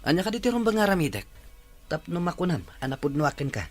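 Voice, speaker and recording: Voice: medium pitch, very animated, fast, faint music behind. An actor voicing a reluctant, weary, defensive teenage boyfriend in an audio drama, with an Ilocano Filipino accent.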